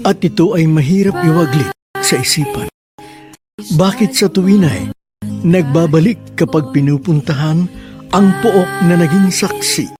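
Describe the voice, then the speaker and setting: A man with a Filipino accent hosting a radio drama, reading a letter in sentimental, theatrical scripted narration. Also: a little high. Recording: radio broadcast with music underneath. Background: music.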